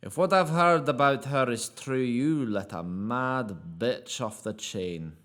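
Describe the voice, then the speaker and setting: A dying rogue in a fantasy audio drama, bitter and defiant. He talks very slowly at an ordinary male pitch, cursing and accusing with a sardonic edge. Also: a bit bright. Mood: weary, bitter. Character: bitter, foul-mouthed dying rogue, brandy-soaked and defiant.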